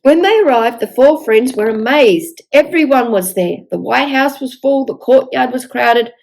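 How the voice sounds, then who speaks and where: An older woman reading a picture book aloud to young children in an expressive storytelling manner. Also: sits high, normal speed, gravelly, low-quality recording. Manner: expressive, with exaggerated dialogue.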